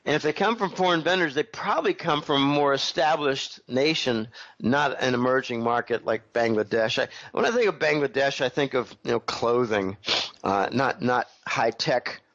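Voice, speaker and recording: Throat-clearing man getting over a head cold. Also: medium pitch, normal speed, a bit bright, lo-fi sound. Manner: rambling, clears throat often. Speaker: interview guest. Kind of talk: casual interview commentary.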